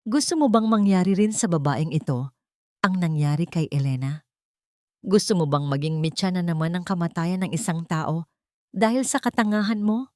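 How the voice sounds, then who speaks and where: A woman's voice: fairly low pitch, normal speed, a little mellow, no room sound, studio quality, close to an everyday voice. A woman playing a haughty, bitter elderly rich mother who taunts with contempt, in an audio drama. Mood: scornful taunting. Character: haughty, cruel wealthy elderly mother, taunting her son.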